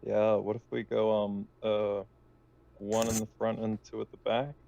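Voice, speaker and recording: A man with a flat, creaky, low voice talks haltingly as a player in a tabletop game. The microphone sounds dull. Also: a little hiss or hum. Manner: hesitant, halting with many ums. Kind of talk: casual game chat.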